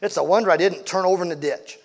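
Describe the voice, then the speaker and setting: A man's voice: fairly high pitch, brisk, close to an everyday voice. A man voicing an angry middle-aged driver who blusters, threatens and demands payment. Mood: indignant.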